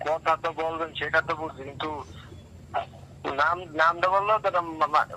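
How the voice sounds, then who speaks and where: A high-voiced man with a Bangladeshi Bengali accent talks casually over a phone line with faint noise. His voice is very bright and forceful, and he speaks in halting, repetitive colloquial phrases. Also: steady tone. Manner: repeats himself, halting colloquial speech. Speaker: prank call recipient. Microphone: telephone.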